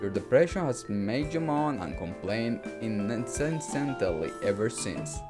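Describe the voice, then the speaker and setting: A man's voice: medium pitch, expressive, a little slow, close to an everyday voice. Man voicing a stern, fed-up wife, music behind. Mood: stern, scolding. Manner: formal, scolding, commanding. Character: stern, fed-up wife scolding her husband.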